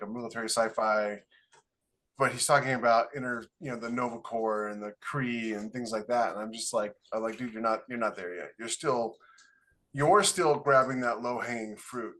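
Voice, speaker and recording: A man's voice: medium pitch, fairly bright tone. A man chatting casually on a podcast, colloquial and anecdotal, repeating points for emphasis. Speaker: podcast host and author.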